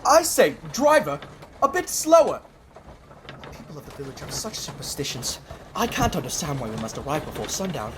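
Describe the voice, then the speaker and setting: A man's voice: on the high side, big pitch swings, moderate pace, noisy background. A man playing a fussy, polite lawyer's clerk in a radio drama, formal and eager to please. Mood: fussy, impatient.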